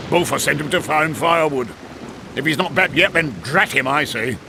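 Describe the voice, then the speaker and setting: A man voicing a gruff, gravelly, grumbling dwarf leader in a fantasy video game. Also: a little high, moderate pace, noisy background. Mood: irritated. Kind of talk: video game cutscene acting.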